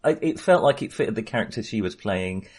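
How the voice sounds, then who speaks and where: A husky-voiced man co-hosting a casual film discussion in a hesitant, tentative manner. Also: on the low side, animated, a little fast. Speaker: film podcast co-host.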